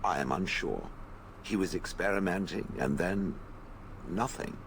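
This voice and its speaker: An actor playing a sardonic stone golem from a fantasy video game, haughty and contemptuous of people. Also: male voice, sits low, vocal fry, background noise. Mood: musing, guarded. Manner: haughty, sardonic, deliberate phrasing.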